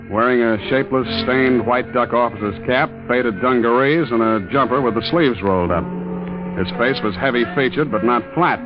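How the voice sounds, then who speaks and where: An actor playing a tough, suspicious ship's skipper in 1940s radio adventure style, terse, wry and hard-boiled. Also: male voice, medium pitch, natural voice. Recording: muffled old radio recording with music.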